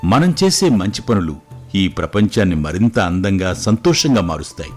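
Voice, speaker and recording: A man playing a calm, wise fatherly king who gives measured, reassuring moral advice in a storybook tale. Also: low voice, soft background music. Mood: serene, instructive. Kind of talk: scripted moral story dialogue.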